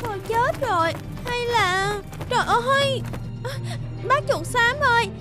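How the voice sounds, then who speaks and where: A woman voicing an anxious, caring young girl mouse in an animated cartoon, earnest and emotional. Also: extremely high pitch, slow, over loud music. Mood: panicked.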